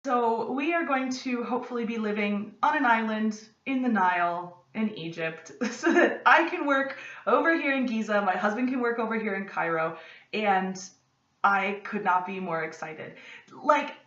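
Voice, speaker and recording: A young American woman talks to a camera set a little way off in her home study, excited and quick to go off on tangents. Her voice sits in a middling range, and the room adds a noticeable echo. The sound is somewhat dull and lo-fi. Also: medium pitch. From United States. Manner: excitable, gestures a lot, rambling asides. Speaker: podcast host. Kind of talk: casual chat to camera. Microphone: camera at desk distance. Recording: roomy, slightly distant, dull lo-fi.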